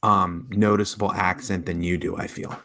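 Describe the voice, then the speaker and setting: A man co-hosting a casual chat and reading out viewer comments, relaxed and restarting his phrases. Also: deep voice, moderate pace.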